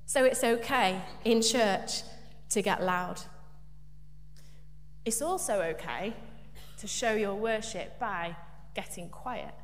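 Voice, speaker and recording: A woman's voice: mid-range voice, slow pace, long pauses. A woman preaching a light, humorous sermon in church, chatty and self-deprecating. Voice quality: big loud voice. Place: church hall. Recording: echoey church hall, faint hum.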